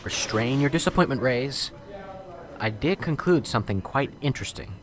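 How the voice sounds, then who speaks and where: A man's voice: mid-range voice, animated, normal speed, a little hiss or hum, close to an everyday voice. A man playing a wisecracking lab tech. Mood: wry. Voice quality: chatty, wisecracking, rambling. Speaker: lab technician. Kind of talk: amateur radio drama acting.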